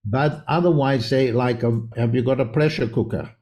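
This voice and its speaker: A man's voice: medium pitch, normal speed, a little mellow. An older man from South Africa, a homeopath guest in a podcast interview, explaining at length with emphatic, lecturing delivery. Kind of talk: conversational interview.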